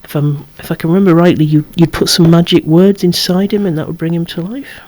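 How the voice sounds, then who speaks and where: A person whispering an ASMR show-and-tell of a collection in a hushed, breathy, unhurried way, musing aloud with wry asides. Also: medium pitch, steady tone. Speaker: toy collector presenting a collection.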